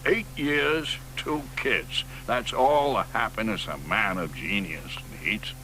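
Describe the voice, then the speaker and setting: An actor playing a scornful, grandiose older poet in a radio play, mocking and theatrical, often dropping to a whisper. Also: male voice, fairly low pitch, slow pace, sharp tone, poor audio. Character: aging male poet, scornful, grandiose, teasing. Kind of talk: radio drama acting. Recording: broadcast radio play with noise and hum.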